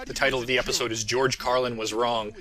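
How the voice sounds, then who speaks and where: A man's voice: medium pitch, flat delivery, fast, a bit bright. A man giving casual, jokey and self-deprecating audio commentary as the creator of the show he is talking about.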